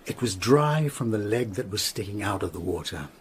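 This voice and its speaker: An actor playing a grave, methodical Tudor physician in a radio drama, explaining in a measured way. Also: male voice, on the low side, animated, a little hiss or hum, close to an everyday voice. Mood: grave, analytical.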